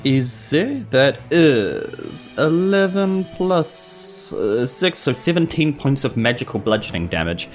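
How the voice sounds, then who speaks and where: A New Zealand man talks through his tabletop game turn with animated, swinging intonation. He is casual and jokey, often self-mocking, over faint background music. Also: mid-range voice, slow, few pauses.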